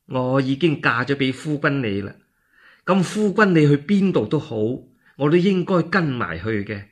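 A man voicing a gentle, devoted noble wife in a one-man radio drama, soft and consoling. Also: mid-range voice, very animated, moderate pace.

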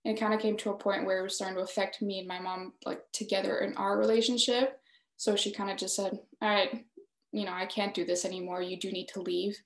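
A woman's voice: mid-range voice, level tone, fast. Young woman artist on a video call, echoey room, lots of ums. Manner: hesitant, many ums and "essentially". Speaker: exhibiting artist. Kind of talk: panel discussion. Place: home room, indoors. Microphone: video call laptop mic.

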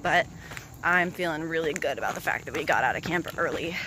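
A woman's voice: low-pitched, a little fast, poor audio. A woman vlogging from a hiking trail, chatting casually to the camera outdoors.

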